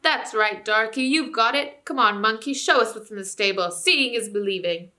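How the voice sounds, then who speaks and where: A woman voicing a scoffing male chief dwarf who heckles loudly. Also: fairly high pitch, moderate pace. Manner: loud, scoffing.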